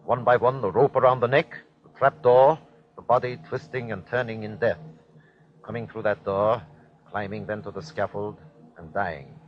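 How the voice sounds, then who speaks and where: A man's voice: on the low side, steady tone, normal speed, close to an everyday voice. Man acting ruthless German captain, dull old recording. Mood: cold, reporting. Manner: commanding, clipped, declamatory. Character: ruthless, fanatical German army captain commanding executions. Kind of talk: old-time radio drama acting. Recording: dull vintage radio recording.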